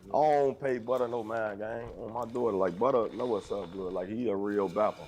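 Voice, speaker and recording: A man's voice: a little fast, a little mellow, slight background noise. A young man, telling jail stories in aggressive, rambling casual talk. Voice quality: deep voice.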